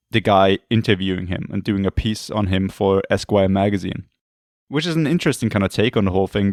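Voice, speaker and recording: A slightly hoarse man co-hosting a podcast, talking in a rambling, hesitant way and often correcting himself. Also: on the low side, no room sound, clean studio sound. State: losing his voice, throat clearing. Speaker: podcast co-host. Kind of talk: casual chat.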